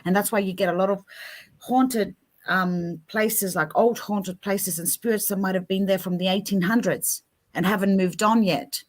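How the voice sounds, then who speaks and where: A middle-aged woman with an Australian accent speaks as a guest on a talk show. She is sympathetic and emphatic, and her voice sits at an ordinary middle pitch. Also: fairly even intonation, moderate pace. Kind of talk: casual chat.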